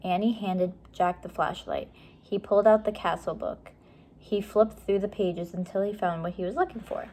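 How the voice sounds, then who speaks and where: A woman reading a children's chapter book aloud with measured, expressive storytelling. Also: mid-range voice. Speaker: story reader.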